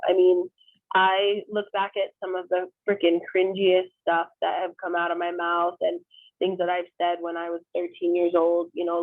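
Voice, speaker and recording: Woman host, 30s, video call, dry tinny audio, gravelly edges, casual and frank. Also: on the low side, no room sound. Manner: conversational and candid, frequent "um". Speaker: discussion host. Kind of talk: hosting an online group discussion.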